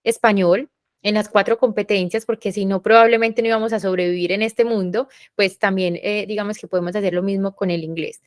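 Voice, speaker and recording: A woman's voice: medium pitch, steady tone, a little fast, no echo, clean studio sound. A woman, a university professor moderating a panel, talking in a reflective, explanatory way with many fillers. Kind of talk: panel discussion.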